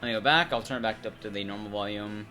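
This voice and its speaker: A man's voice: fairly low pitch, fast, pushed and bright, soft background music. A man giving casual, conversational live stream commentary on a game, explaining things directly to his viewers. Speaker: streamer and horror game speedrunner.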